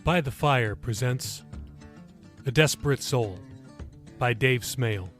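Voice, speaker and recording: A man's voice: mid-range voice, slow pace, fairly bright tone, faint music behind. A man reading scripted material for his own podcast, as author and narrator.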